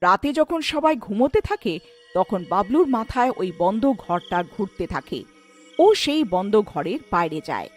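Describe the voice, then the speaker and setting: A woman narrating a scripted horror tale for a cartoon in a dramatic, expressive storytelling manner. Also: mid-range voice, a little slow, soft background music.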